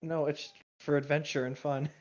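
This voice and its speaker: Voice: mid-range voice, level tone, normal speed, darkish tone. A wry co-host chats casually and reads fan fiction aloud, tossing in jokes. The sound is slightly dull and lo-fi. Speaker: podcast co-host.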